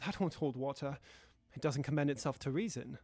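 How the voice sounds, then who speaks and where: A young man playing a sober, sceptical gentleman lawyer in an audio drama, speaking in a formal, measured way. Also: mid-range voice, dry recording, close to an everyday voice. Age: young adult.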